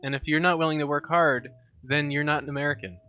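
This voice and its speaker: A man's voice: on the high side, steady tone, quick pace. A man co-hosting a comedy podcast, bantering with dry, mock-serious sarcasm. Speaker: podcast co-host. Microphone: studio microphone. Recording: slightly dull sound with faint music.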